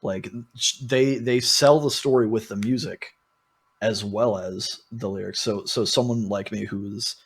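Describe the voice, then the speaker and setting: Low, gravelly male podcast co-host. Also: fairly even intonation, unhurried. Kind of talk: casual music discussion.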